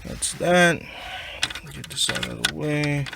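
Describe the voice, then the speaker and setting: A man's voice: fairly high pitch, takes it slowly, creaky. A man presenting an unscripted hands-on installation tutorial, talking informally through each step as he works. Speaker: car audio installer, presenter. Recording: noisy, clicks and handling of parts.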